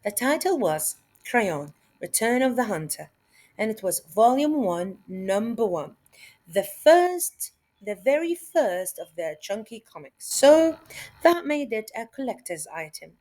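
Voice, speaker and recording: A woman teacher reading a children's story aloud in a deliberate, expressive way, adding emphasis and short asides. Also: a little high. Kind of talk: read-aloud lesson for children.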